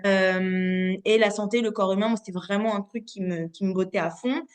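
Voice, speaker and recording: A woman in her late twenties from the Paris region, an osteopath telling her story as a podcast guest in a lively, chatty way with many fillers and restarts. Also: mid-range voice, normal speed. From Paris region, France. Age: 20s. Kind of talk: casual podcast interview.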